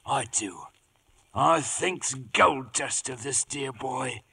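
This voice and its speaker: A man's voice: mid-range voice, slow, gravelly, sharp tone, husky, full character voice. A male actor playing a wily, ingratiating pirate with a West Country accent in a theatrical 1950s radio drama. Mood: sly, ingratiating. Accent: English West Country pirate. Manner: theatrical, sly, rustic grammar. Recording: noisy old 1950s radio broadcast.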